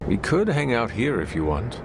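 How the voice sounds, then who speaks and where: An actor playing a calm, earnest hero in a video game, plain-spoken and gently questioning. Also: male voice, mid-range voice, moderate pace. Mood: supportive. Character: earnest, supportive hero, calm and curious companion. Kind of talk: dramatic video game acting. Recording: clear background noise.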